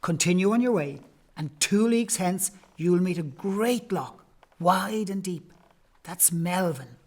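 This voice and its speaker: A man's voice: high voice, expressive, measured pace. A man playing a kindly, solemn Irish priest in an audio play, speaking in archaic, declamatory phrases.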